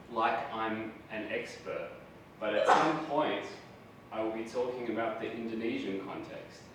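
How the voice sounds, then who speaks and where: A man with an Australian accent giving a university lecture, chatty and self-deprecating, often asking the audience questions. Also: mid-range voice. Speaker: guest lecturer. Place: large lecture hall. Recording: echoey hall, voice from far off, noisy.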